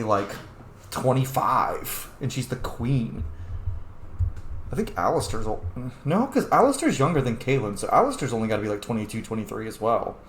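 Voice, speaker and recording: Casual gamer dude thinking out loud over gameplay, expressive voice, roomy echo. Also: male voice, mid-range voice. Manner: informal, musing. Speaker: video game player and commentator. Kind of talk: casual gameplay commentary and role-play.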